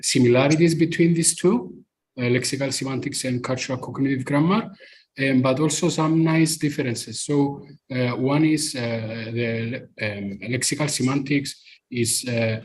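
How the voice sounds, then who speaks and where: A man with a Greek accent talks through an idea slowly, stopping often to find words. His voice sits in a middle range, and faint background noise runs under his home microphone on a video call. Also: mid-range voice, darkish tone. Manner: halting, many uh fillers, explaining at length. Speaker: discussion participant. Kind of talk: live podcast group discussion.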